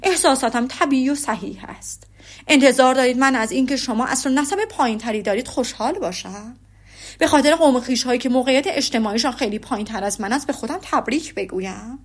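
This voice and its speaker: A haughty young gentleman speaks in a loud, emphatic and formal way, as a woman narrator voices him. The storybook reading keeps his voice high. He presses every phrase with stiff pride. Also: slightly rough.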